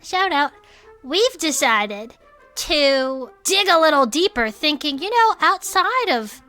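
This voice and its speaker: A bright, forceful and fairly high voice playing an eager cryptid-club storyteller. The delivery is chatty and conspiratorial, with theatrical suspense, over faint music in an audio drama. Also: female voice, very animated, slow pace, heavily acted. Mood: eager, mysterious. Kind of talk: in-character podcast storytelling.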